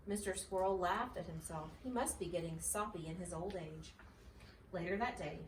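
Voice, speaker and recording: A woman reading a children's story aloud as a mother would, in a home-style recording. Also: fairly low pitch. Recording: echoey, slightly distant, faint noise.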